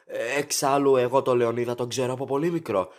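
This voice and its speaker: A man's voice: mid-range voice, fairly even intonation, natural voice. A man playing a courteous, chatty factory director in an audio drama, formal, rambling and eager to please.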